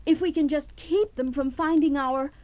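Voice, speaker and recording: An actress playing a devoted, frightened young fiancée, breathless and melodramatic, in a 1970s horror audio drama. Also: female voice, high voice, normal speed, mellow, dry recording, lightly acted. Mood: tender, anxious.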